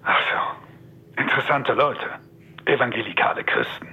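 A man's voice: medium pitch, moderate pace, vocal fry, husky. A man playing a frank, businesslike estate adviser in a radio drama, matter-of-fact and formal. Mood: frank, concerned. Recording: muffled, band-limited, faint noise.

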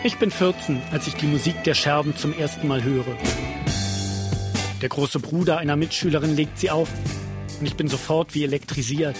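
A man's voice: fairly high pitch, fairly even intonation, moderate pace. A man hosting a podcast, reading scripted first-person documentary narration. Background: guitar music.

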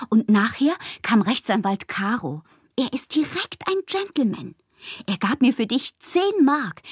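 A woman playing a whimsical bohemian poet who confides her letters in an intimate, breathy, theatrical way for a radio drama. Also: mid-range voice, a little mellow, no room sound, studio quality. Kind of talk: literary radio drama, letters read as monologue.

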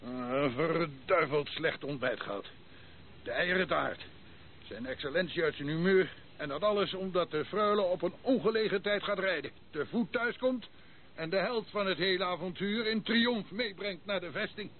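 An actor playing a gruff, grumbling old retired army captain with a clipped military manner in a radio play. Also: male voice, sits high, normal speed, natural voice. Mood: grumbling, mocking. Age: older. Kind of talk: Dutch radio play acting. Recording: muffled narrow-band old radio recording, noisy.

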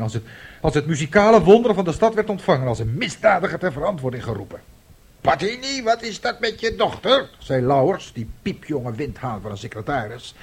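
A man's voice: on the high side, slightly rough. An actor playing a middle-aged, proud and self-pitying father in a radio drama, speaking theatrically. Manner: theatrical, indignant, emphatic.

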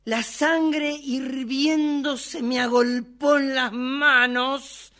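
A woman acting a hot-tempered, impulsive, emotional Argentine woman in an amateur radio play, haltingly excusing her rages and repeating words when flustered. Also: sits high, steady tone, fairly bright tone, full character voice. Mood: indignant, wound up.

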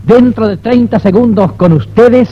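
A man's voice: high-pitched, unhurried. A man announcing in the style of an old radio broadcast, on a muffled archive recording.

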